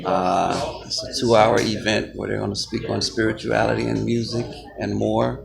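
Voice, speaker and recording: A man with a gravelly voice narrating a casual vlog on location, speaking as the host. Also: lowish voice, flat delivery, moderate pace, over a noisy crowd. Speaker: vlogger. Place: event venue during a sound check. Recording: live music behind the voice. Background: musicians playing at rehearsal.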